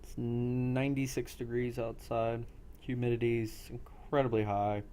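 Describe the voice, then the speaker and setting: A man working as game master, narrating a role play game in a halting way with pauses between phrases. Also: medium pitch, flat delivery, dark tone, a little hiss or hum.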